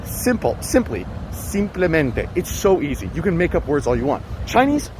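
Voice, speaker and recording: A man's voice: fairly high pitch, sing-song, moderate pace. A young man chatting casually while walking city streets and vlogging, rambling and swearing now and then. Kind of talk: casual walking vlog talk. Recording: street noise behind.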